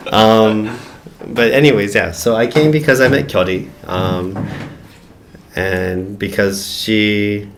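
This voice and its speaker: Low-voiced man teasing a friend. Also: steady tone, slow pace, noisy background. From United States. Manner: teasing, blunt. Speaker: channel host. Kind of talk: casual livestream chat.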